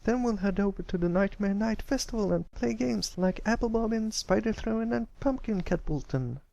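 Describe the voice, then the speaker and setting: An eager little country filly acted by a man speaks in a raised, slightly creaky pitch. The delivery is friendly and folksy, as in a children's pony story read aloud. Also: a little fast, dark tone, no echo, muffled recording. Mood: excited. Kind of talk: character voice in storybook reading.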